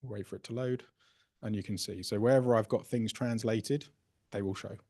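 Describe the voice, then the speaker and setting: A man presenting a software workshop, casually explaining a live demo and often checking in with "right?" and "okay?". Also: fairly low pitch, steady tone, normal speed. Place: workshop room.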